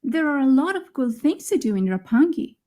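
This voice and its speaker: A young woman speaking her part in a scripted conversation for English learners, clear and friendly. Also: high-pitched, sing-song, fairly quick, warm tone. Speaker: speaker in a recorded dialogue.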